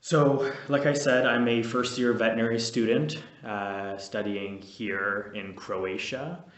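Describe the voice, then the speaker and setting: A man's voice: mid-range voice, steady tone, unhurried. A young man, vlogging casually and a bit nervously, rambling with many fillers and restarts. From Canada. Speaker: vlogger, veterinary student. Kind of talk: casual to-camera vlog. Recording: echoey room, distant mic.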